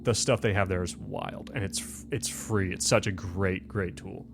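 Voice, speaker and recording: A man's voice: low voice, fairly even intonation, normal speed, creaky, noisy background. A man hosting a tabletop game as game master, explaining and narrating in a casual conversational style. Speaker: game master and show host.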